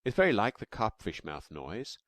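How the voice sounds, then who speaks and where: Man doing a cave-man dad, patient, explaining, lively, dry close voice. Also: fairly low pitch, moderate pace, dry recording, pitched above the performer's own voice. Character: fond, excited cave-man father.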